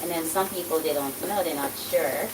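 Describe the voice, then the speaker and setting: A chatty woman with a light Trinidadian accent talks through her cooking in a rambling, easy way, her voice on the low side. She sits back from the mic in a home kitchen, and loud sizzling from the pan fills the background. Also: fairly quick, recorded from a distance, noisy background. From Dominica, raised in Trinidad and Tobago. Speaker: cooking channel host. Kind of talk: casual cooking vlog chat. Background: sizzling food frying.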